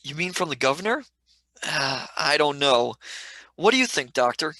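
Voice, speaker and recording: Radio play: man as skeptical Cockney sidekick, cheeky, animated, bright tone. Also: on the high side, moderate pace, no echo, exaggerated voice, rough recording. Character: cheeky, skeptical young Cockney male companion, slangy. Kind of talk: live script reading, radio play.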